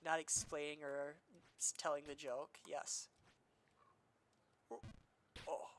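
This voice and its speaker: A man's voice: on the high side, flat delivery, slow pace, a little hiss or hum. A young man streaming a game, chatting casually in a rambling, self-deprecating way with many fillers, his voice slightly nasal from allergies. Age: young adult.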